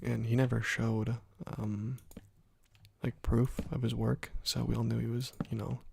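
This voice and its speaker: A young man hosting an ASMR gaming video, speaking softly into a close microphone and rambling through stories with many fillers. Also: medium pitch, little pitch movement, a little slow, creaky, slightly rough, no room sound. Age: young adult. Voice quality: whispery and soft. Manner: rambling, laid-back storytelling with many fillers. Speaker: gaming ASMR channel host. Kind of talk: soft-spoken ASMR gameplay commentary.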